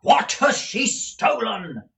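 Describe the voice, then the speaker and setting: A man's voice: mid-range voice, unhurried, a bit bright. A man voicing a stern, impatient usurer who speaks in brusque, demanding bursts in a dramatised novel reading. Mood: fierce demanding. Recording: roomy echo, distant mic.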